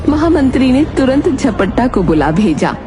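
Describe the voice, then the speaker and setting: A woman's voice: high-pitched, sing-song, normal speed. A woman delivering scripted narration for a children's cartoon story. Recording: loud music behind.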